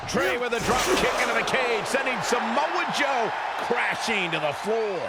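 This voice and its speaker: A man playing an opinionated, excitable wrestling commentator in a video game, calling the action dramatically. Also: very high-pitched, moderate pace, very noisy. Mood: excited.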